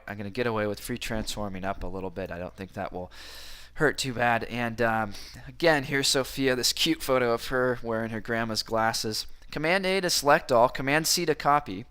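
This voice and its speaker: A man teaching a software tutorial who sounds enthusiastic as he talks through each click, and his voice is slightly hoarse from a cold. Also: medium pitch. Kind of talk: screen-recorded software tutorial.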